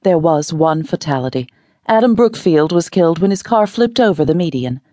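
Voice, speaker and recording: An audiobook narrator voicing a female TV newscaster with a measured broadcast delivery. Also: mid-range voice, expressive, dark tone, dry recording, natural voice. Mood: grave. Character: grave female TV newscaster, then cheerful. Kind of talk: audiobook character reading.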